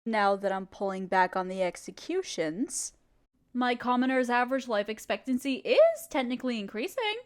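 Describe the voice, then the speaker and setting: A woman's voice: on the high side, animated, normal speed, natural voice. A woman voicing a ruthless, scheming evil queen in an audio roleplay, speaking in a condescending, sardonic, commanding way. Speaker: voice actor.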